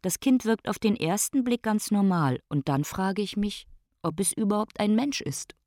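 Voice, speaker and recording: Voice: medium pitch, expressive, moderate pace, darkish tone, dry recording, lightly acted. An actor in a radio drama playing a brain specialist who observes his own decline in a musing, matter-of-fact way.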